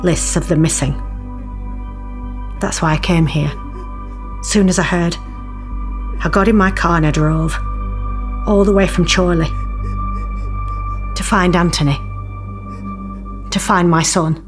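A woman's voice: lowish voice, steady tone, a little slow, long pauses, music in the background, lightly acted. An actress playing a bereaved middle-aged mother in an audio drama, confiding her story in a plain-spoken, wry and bitter way. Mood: grieving, earnest. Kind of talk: audio drama narration, intimate monologue.

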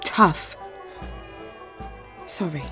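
A woman's voice: very slow, sharp tone, soft background music. An actress playing a caring older sister who teases and hurries her younger brother along, in an audio drama. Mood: tender. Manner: coaxing, bossy then tender.

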